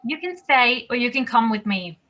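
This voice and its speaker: Actress as headstrong woman, bright high voice. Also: animated, normal speed, lo-fi sound, soft background music. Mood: firm, defiant. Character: Lisa, headstrong, no-nonsense woman searching for someone missing. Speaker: actor in a table read. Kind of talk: table read of a screenplay.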